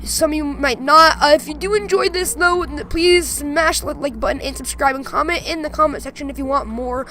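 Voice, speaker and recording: A woman's voice: sits high, fairly quick, bright, forceful tone. A young woman giving a casual spoken introduction as the host of a video channel.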